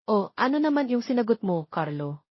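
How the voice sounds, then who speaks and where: A woman's voice: a little high, big pitch swings, a little fast, slightly rough. A woman voicing an innocent young nanny, deferential and earnest, in a romance story. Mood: polite, concerned. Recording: muffled lo-fi, roomy echo.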